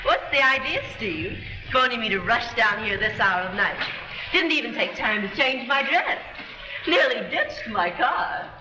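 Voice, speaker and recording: A woman's voice: fairly high pitch, expressive, a little slow, over music. An actress playing a brash, glamorous young kept woman in a stagy early 1930s film. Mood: breezy, grumbling. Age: young adult. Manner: stagy, sassy. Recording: echoey, distant 1930s film soundtrack.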